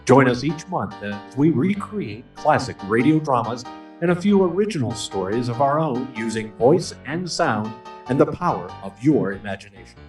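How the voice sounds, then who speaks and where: A man's voice: medium pitch, very animated, normal speed. Roomy, distant male radio announcer. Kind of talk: scripted radio drama introduction. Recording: roomy, distant mic, faint music. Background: faint music.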